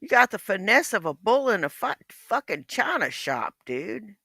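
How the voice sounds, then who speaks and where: A woman's voice: medium pitch, very animated, normal speed, gravelly, bright, forceful tone, breathy edge, dry recording, clean studio sound. Blunt, foul-mouthed woman in her fifties. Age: 50s. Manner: blunt, profane, mocking. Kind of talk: casual livestream chat.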